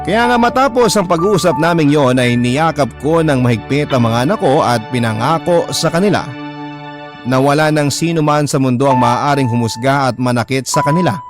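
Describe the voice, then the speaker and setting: A man's voice: mid-range voice, lively intonation, normal speed. A man narrating a scripted first-person drama story in an earnest, sentimental manner. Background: prominent instrumental music.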